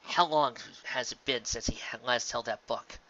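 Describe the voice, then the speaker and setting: A narrator giving a measured, scripted dramatic story reading, occasionally stumbling over words. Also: medium pitch, sharp tone, highs cut off. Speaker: amateur audiobook narrator.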